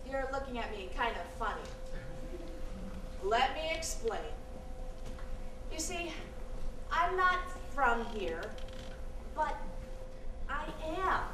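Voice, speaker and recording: An actress with an American accent playing a wry, wistful Korean American adoptee in a live stage monologue, speaking dramatically and deliberately with pauses. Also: female voice, high-pitched, exaggerated voice. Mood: exuberant. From United States. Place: live stage performance in a venue. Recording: roomy, noisy, distant stage recording.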